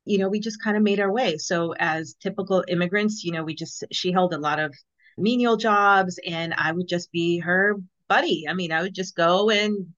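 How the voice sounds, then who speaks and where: Lower-pitched woman in her fifties, slightly muffled. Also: normal speed, fairly bright tone. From born in El Salvador, grew up in Los Angeles. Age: 50s. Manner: conversational, peppered with "you know". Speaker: podcast guest. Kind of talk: casual personal storytelling.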